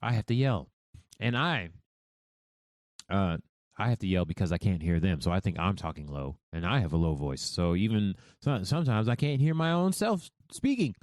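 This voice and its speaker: A man's voice: low-pitched, no echo, clean studio sound. A man hosting a podcast, talking loosely and profanely in casual commentary. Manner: animated, loose and profane, speaks fast. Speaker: podcast host.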